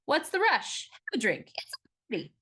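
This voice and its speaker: A woman's voice: on the high side, wildly swooping pitch, normal speed, sharp tone, breathy edge, voice pushed up, heavily acted. A woman voicing an eager, polite eighteen-year-old Navy sailor from the forties in an audiobook, with a folksy, courteous way of talking. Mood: eager, friendly.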